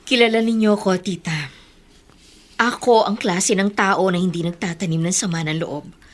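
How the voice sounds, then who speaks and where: A proud, wounded elder sister played by a woman in a radio drama. Her mid-pitched voice is measured and reproachful. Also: fairly even intonation, natural voice. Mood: hurt. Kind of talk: Filipino radio drama acting.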